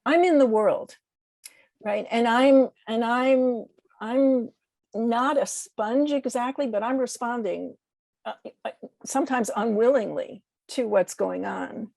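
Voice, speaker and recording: An American woman speaking as a guest poet in a reflective interview, halting and searching for words as she corrects herself. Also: a little high, vocal fry, darkish tone, no room sound. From United States.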